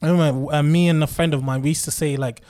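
Young musician, chatty storyteller, drops into street slang. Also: male voice, a little high, fast. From Birmingham, England. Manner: animated, mimics friends' street slang. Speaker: musician guest. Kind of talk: casual storytelling chat.

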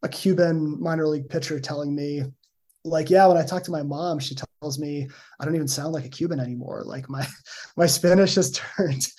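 Middle-aged male academic guest, mellow tone, hedging and thoughtful. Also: a little high, steady tone, moderate pace. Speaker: guest, linguistics professor. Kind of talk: conversational interview answers.

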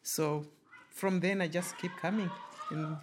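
A woman's voice: on the low side, steady tone, unhurried. A woman, a parent speaking hesitantly in an interview. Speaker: parent in a mothers' group. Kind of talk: interview answer. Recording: faint background noise.

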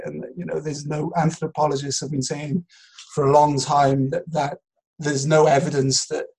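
A man's voice: mid-range voice, a little mellow, breathy edge, poor audio. A man in a casual intellectual discussion, explaining things in a hesitant, rambling and hedged way.

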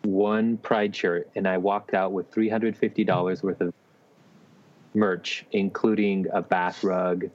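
Low-voiced man, casual and teasing. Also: level tone, measured pace, darkish tone. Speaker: podcast co-host. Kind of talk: casual chat among friends. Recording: slightly dull, lo-fi microphone sound.

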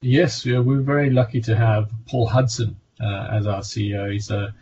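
A man with a South African accent answers from his countryside home over a video call. His voice sits low and he moves carefully, often hesitating and restarting. The audio is dull and lo-fi, with a faint hum in the room. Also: fairly even intonation, a little mellow. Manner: measured, many hesitations and restarts. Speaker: pharma medical director guest. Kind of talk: remote interview answers.

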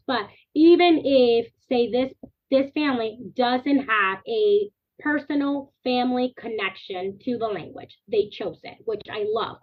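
A woman in her thirties, born in the Dominican Republic, speaking earnestly as a podcast guest in long, flowing stretches of thought. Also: on the high side. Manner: earnest, animated, talks in long chains of clauses. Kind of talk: podcast interview. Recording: tinny phone-like line.

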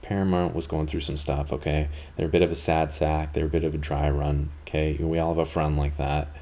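A man's voice: extremely low pitch, flat delivery, brisk, dark tone. A man in his thirties from Canada, hosting a podcast in a casual, rambling monologue full of fillers and asides. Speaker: podcast host.

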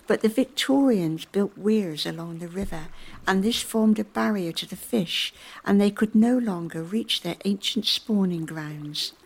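A woman acts an earnest river volunteer in a community radio play. Her voice sits at an average pitch with clear fry in it. She explains things in a measured, scripted way. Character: earnest woman volunteer fish counter and embroiderer.

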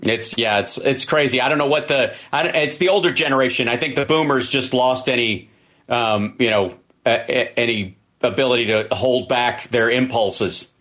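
A man filling in as host of a political talk radio show, talking fast and energetically with fillers and restarts. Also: medium pitch, fairly bright tone.

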